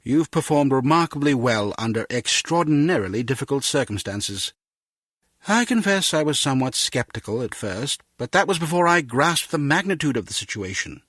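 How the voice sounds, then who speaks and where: An actor voicing a courteous middle-aged spymaster in a video game, speaking in a measured, formal, gentlemanly way. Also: male voice, mid-range voice, big pitch swings, no echo, studio quality. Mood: reassuring, reflective. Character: courteous middle-aged British spymaster, encouraging ex-field agent. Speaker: spy agency chief.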